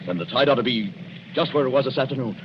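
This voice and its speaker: An actor playing a desperate, determined young husband, urgent and emotional, in a 1940s radio melodrama. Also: male voice, medium pitch, fast talker, close to an everyday voice. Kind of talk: 1940s radio drama acting. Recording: muffled, noisy 1940s radio recording.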